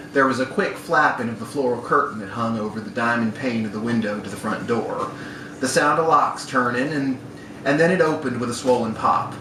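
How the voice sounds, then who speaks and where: Man reading fiction in a noisy, echoey room. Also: medium pitch. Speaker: story reader at a live literary event. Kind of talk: live reading of literary short fiction. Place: bar room at a live event. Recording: echoey, noisy live room, distant mic.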